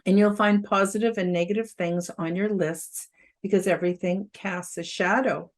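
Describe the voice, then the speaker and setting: An outspoken woman around seventy speaks in a loud, carrying voice at a middling pitch. She talks casually, with frequent fillers and emphatic rises when she makes a point. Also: fairly even intonation, moderate pace. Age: about 70. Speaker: host giving a self-help presentation. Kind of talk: casual spoken presentation to camera.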